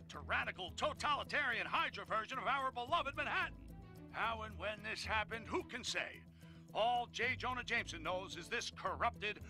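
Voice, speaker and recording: Hyped newscaster, bright, high and bouncy, music faint behind. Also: male voice, exaggerated voice, poor audio. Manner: punchy, hyped, sarcastic. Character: brash male news reporter, sensational. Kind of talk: news broadcast parody.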